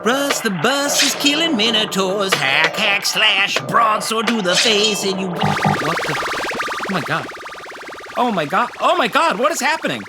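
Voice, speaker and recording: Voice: mid-range voice, very animated, measured pace, over loud music. A performer in a comic audio play playing a hapless, melodramatic nerdy young guy. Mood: gleeful. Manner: melodramatic, stammering. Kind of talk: audio play comedy acting.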